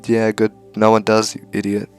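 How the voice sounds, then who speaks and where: A man's voice: lowish voice, completely flat delivery, moderate pace, darkish tone, smooth, faint music behind. A man reading a visual novel aloud for a let's play, with casual asides.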